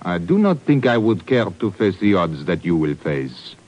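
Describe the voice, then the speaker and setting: An actor playing a formal European police inspector, measured in manner, in 1950s radio drama style. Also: male voice, deep voice, very animated, darkish tone. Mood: grave warning. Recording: muffled vintage radio broadcast.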